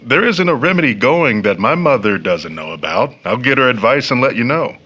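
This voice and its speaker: A man's voice: medium pitch, expressive, brisk, sharp tone, slightly rough. An actor playing a polite, earnest and sympathetic working man in a stage-style audio drama. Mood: courteous, friendly. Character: courteous, sympathetic working man, family friend.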